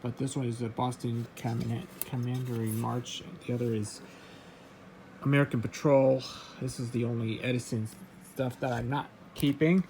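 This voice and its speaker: A man casually showing his record collection, reading out titles in a halting, offhand way. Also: mid-range voice, steady tone, darkish tone, a little hiss or hum, poor audio. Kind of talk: casual show-and-tell vlog.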